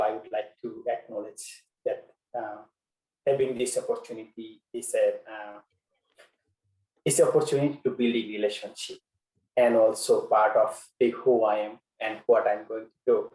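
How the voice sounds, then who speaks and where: A man from Bangladesh whose first language is Bengali, giving a webinar presentation as a guest speaker in a measured way, with frequent pauses and fillers. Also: medium pitch, darkish tone, reverberant, rough recording.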